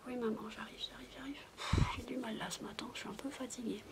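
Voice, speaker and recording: A woman's voice: on the high side, steady tone, fast talker, creaky, pitched above the performer's own voice. Woman playing a sighing, sleepy little girl, whispery. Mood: sleepy, reluctant. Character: dreamy little girl waking up disappointed. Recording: noisy homemade recording.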